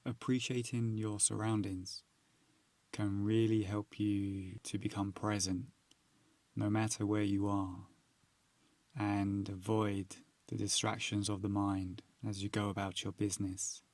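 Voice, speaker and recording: A man narrating a guided meditation, giving soothing, measured instructions with long pauses. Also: lowish voice, little pitch movement, a little mellow, dry recording.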